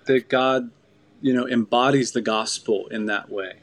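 A man's voice: medium pitch, fairly even intonation, measured pace. A man co-hosting a podcast, speaking in a reflective, affirming way. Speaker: podcast co-host. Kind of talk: casual conversation.

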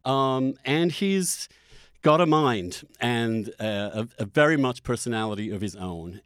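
A man hosting a conversational interview, speaking in a halting way with restarted phrases and emphasis on key words. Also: medium pitch, dry recording, clean studio sound. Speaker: host interviewing guests. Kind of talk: conversational podcast introduction and interview.

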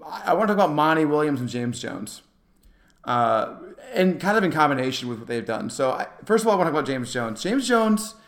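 A man's voice: a little high, very animated, fairly quick. A man co-hosting a sports podcast, casually discussing basketball and often backtracking mid-thought as he makes his points. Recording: roomy, echoey sound.

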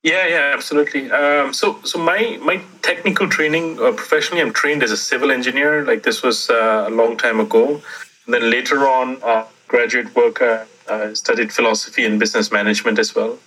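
A man's voice: mid-range voice, fairly even intonation, brisk, pushed and bright, poor audio. A man who grew up in Singapore, a startup founder telling his story as an interview guest in a reflective, meandering way with self-deprecating humor. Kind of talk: interview answer, personal storytelling.

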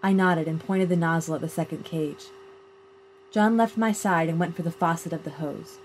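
Woman reading fiction, lowish voice, noisy recording. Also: normal speed, a little mellow. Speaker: short story reader. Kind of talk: scripted literary narration, first-person short story read aloud. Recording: clear background noise.

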